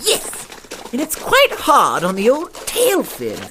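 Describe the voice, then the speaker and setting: A man's voice: extremely high pitch, wildly swooping pitch, takes it slowly, background noise, exaggerated voice. A man playing a naive, well-spoken dandy fish from New York in a comic western audio drama, with wordy, formal diction. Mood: fussy discomfort.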